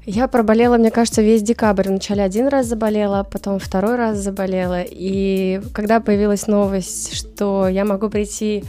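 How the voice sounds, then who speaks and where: A woman co-hosting a live studio talk show, her voice a little hoarse from a cold, chatty and self-deprecating. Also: mid-range voice, fairly even intonation, moderate pace, few pauses, warm tone, soft background music. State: hoarse from illness. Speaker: co-host. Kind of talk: casual chat.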